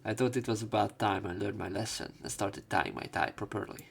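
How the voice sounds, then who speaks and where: A man narrating a game let's play, reading dialogue aloud with casual, halting commentary. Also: lowish voice, fairly even intonation, gravelly.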